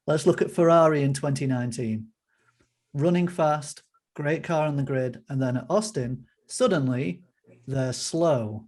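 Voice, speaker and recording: A man joining a podcast as a fan guest over a video call, setting out his views in a measured, orderly way. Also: on the high side, darkish tone. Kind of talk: casual opinion talk on a video call.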